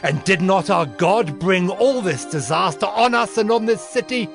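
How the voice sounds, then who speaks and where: An actor playing a stern, zealous governor of ancient Judah, narrating dramatized scripture in an emphatic, declamatory way. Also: male voice, sits high, expressive, normal speed, gravelly, music in the background. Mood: angry, confronting.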